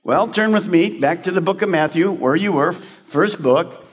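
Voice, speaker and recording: A man's voice: high-pitched, normal speed, slightly rough, big-room sound. A male pastor preaching a radio sermon, posing rhetorical questions and answering them himself.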